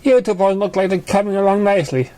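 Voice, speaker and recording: A man's voice: high-pitched, fast talker, dark tone, pitched above the performer's own voice, close to an everyday voice. A man plays a young girl pony with a raised voice. She is helpful and encouraging, a friendly little potion maker from a storybook.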